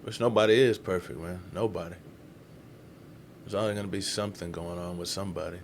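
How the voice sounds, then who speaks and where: A man's voice: sits low, steady tone, fairly quick, slight background noise. A man giving casual, slangy reaction commentary as the host of the video. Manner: colloquial, slangy.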